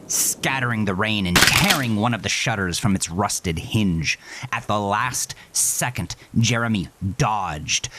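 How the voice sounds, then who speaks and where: A man's voice: sits low, very noisy. A man narrating a horror story in an intense, grave, dramatic way. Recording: loud horror score with rain behind.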